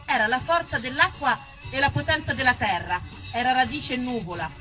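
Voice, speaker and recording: A woman from Tuscany performing a theatrical monologue as an actress and storyteller, expressive and emphatic, dwelling on single words. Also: sits high, a little fast, music in the background. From Tuscany, Italy. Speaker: stage actress, writer and storyteller.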